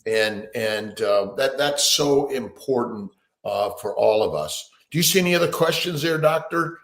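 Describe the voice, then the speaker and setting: A man's voice: mid-range voice, animated, normal speed, vocal fry, fairly bright tone, echoey room. A man hosting a casual live-stream chat, rambling and upbeat, with many restarts. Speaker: host.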